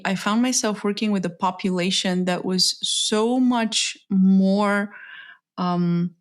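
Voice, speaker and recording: A middle-aged woman from Bulgaria, a therapist, telling her story in a reflective, thoughtful way during a conversation. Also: medium pitch. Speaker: author and somatic therapist guest. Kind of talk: casual interview conversation.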